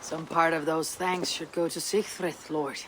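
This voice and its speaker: A man playing a seasoned, pragmatic Viking raider in a video game, measured and resolute. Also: a little high, level tone, noisy background, lightly acted. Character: seasoned male Viking raider, steady, pragmatic war counsellor. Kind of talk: video game cutscene acting.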